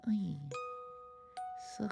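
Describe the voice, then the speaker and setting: A livestream host commenting casually on clips in a playful, expressive way, with silly exclamations. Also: very slow, over music.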